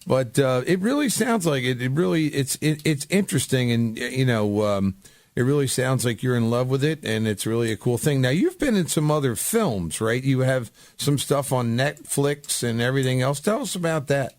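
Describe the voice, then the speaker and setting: A man hosting a casual radio interview, asking and commenting in a halting, rambling way. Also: medium pitch, lively intonation, a little mellow, dry recording. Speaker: radio host.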